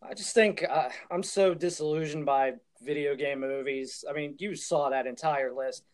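A man's voice: a little high, moderate pace. A man co-hosting a podcast, giving his opinions in casual conversation. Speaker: podcast co-host.

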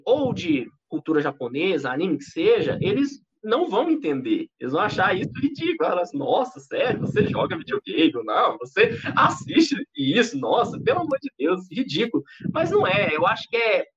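Husky Brazilian man in his thirties. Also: high-pitched, moderate pace. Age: 30s. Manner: chatty and animated, with frequent restarts. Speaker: interview guest. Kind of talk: casual interview talk. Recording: dull lo-fi audio.

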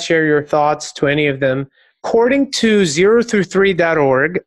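Measured male podcast host, dry mic. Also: fairly high pitch, dry recording. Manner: reads facts and intro in a measured scripted way. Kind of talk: podcast interview.

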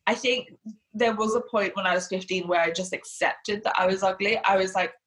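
A woman's voice: mid-range voice, fairly even intonation, a little fast, fairly bright tone. A woman, grew up in Hong Kong, talking chattily as an interview guest and using lots of fillers. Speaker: guest, body confidence coach. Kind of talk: casual interview talk.

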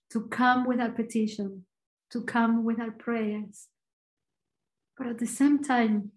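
A woman with an Argentinian accent giving a short, earnest devotional talk, reflective and pausing. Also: a little high, poor audio. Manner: earnest, reflective, slow pauses. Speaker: church speaker.

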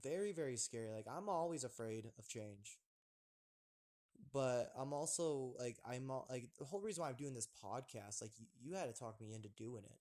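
A man's voice: mid-range voice, expressive, normal speed, a little mellow. A young man hosting a casual podcast chat, rambling with lots of fillers and restarts. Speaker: podcast host.

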